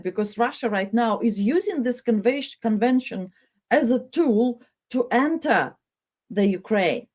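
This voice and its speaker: A woman's voice: mid-range voice, warm tone. Hesitant woman hosting a news interview. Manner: halting, many hesitations and restarts. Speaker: news host. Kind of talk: interviewing a guest.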